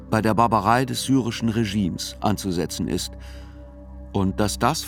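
An actor playing a sober, reflective male reporter who narrates a radio drama in literary reportage style. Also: fairly low pitch.

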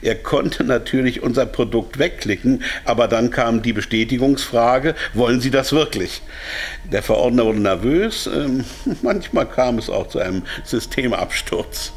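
An actor playing a smug pharmaceutical executive held captive, explaining himself in a German radio drama. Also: male voice, medium pitch, animated, normal speed, lightly acted. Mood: smug, explanatory. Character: captive male pharma marketing executive, smugly explaining, easily rattled.

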